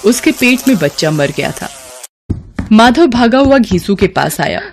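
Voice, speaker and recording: A woman's voice: fairly high pitch, sing-song, measured pace, slight background noise. A woman reading scripted literary story narration as the narrator.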